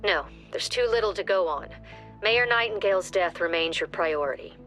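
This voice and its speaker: An actress playing a stern commander briefing a tactical police squad in a clipped, authoritative way. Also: female voice, on the low side, lively intonation, moderate pace, a bit bright. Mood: stern, businesslike. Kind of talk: scripted game briefing narration. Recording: music under the voice.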